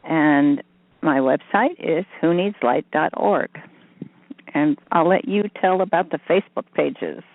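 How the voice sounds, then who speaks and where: A woman hosting a spiritual talk show, introducing herself and her co-host in a relaxed, plain way. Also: low-pitched, measured pace, no room sound. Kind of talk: casual chat on a call-in show. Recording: dry tinny phone line.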